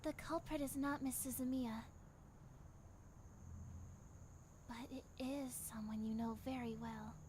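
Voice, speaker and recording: Woman acting a gentle, high-voiced young female guide. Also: steady tone, normal speed, a little hiss or hum, lightly acted. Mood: serious, explaining. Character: grown young woman time traveller, gentle, guiding. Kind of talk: anime fan dub acting. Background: background music.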